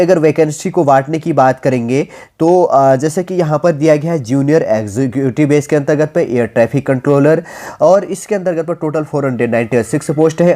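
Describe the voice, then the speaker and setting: An Indian man presenting as a coaching instructor, reading out a notice and explaining it informally in halting, repetitive phrases mixed with English terms. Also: a little high, warm tone.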